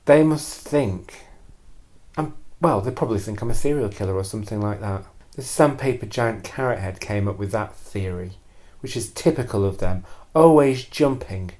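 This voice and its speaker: A man telling a first-person confessional story in a deadpan, deliberate and hesitant way, repeating phrases for emphasis. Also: lowish voice. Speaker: storyteller performing a personal monologue.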